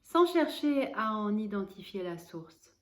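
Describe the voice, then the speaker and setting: Female yoga nidra guide, mid-pitched, very slow delivery, calm and measured, leaving space between instructions. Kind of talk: guided relaxation meditation, spoken instruction.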